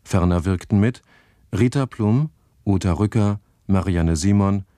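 A man's voice: low-pitched, a little slow, mellow, no echo. A male radio announcer formally reading out the closing credits of a radio drama. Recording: dry close studio sound.

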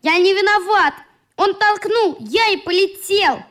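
A woman's voice: very high voice, a little slow, a bit bright. A woman playing an earnest, indignant little boy in a radio play, reporting in an artless, aggrieved way. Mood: defensive, indignant.

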